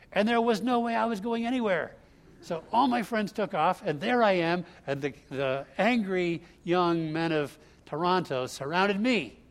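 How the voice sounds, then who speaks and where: A man preaching an expository sermon, explaining scripture line by line in a conversational way. He grew up in the US Northeast. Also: on the high side.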